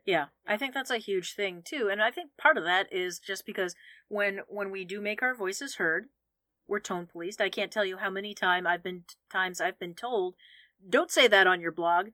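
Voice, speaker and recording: A woman co-hosting a podcast, chatting casually and telling stories in a candid, animated way. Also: medium pitch, moderate pace, no room sound. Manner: candid, animated storytelling with frequent restarts. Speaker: podcast co-host. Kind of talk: casual conversational chat.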